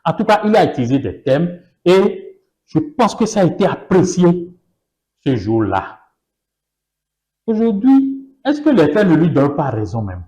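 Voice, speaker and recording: A man's voice: on the high side, sing-song, unhurried, mellow, low-quality recording. Emphatic man with a Yoruba mother tongue. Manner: emphatic, repeats phrases, rhetorical questions. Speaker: political party guest. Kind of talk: political interview.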